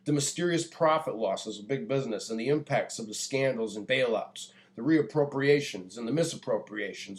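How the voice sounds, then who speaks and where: An American man delivering a prepared public address in a deliberate, earnest, preaching manner. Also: mid-range voice, darkish tone. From United States. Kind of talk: earnest prepared monologue, oratory.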